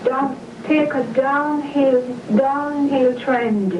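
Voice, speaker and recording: A woman's voice: high-pitched, steady tone. A woman from Jamaica, earnestly telling why she joined a religious group. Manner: earnest, measured, convinced. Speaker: interviewee, church member. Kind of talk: earnest testimony on video. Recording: dull, echoey room from far off, noisy.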